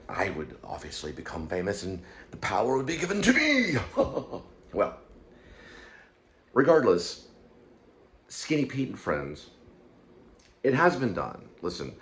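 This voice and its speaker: Low-pitched man rambling, noisy background. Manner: halting, digressive, thinking aloud. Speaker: host of his own online show. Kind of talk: rambling unscripted commentary on a TV teleplay. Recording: clear background noise.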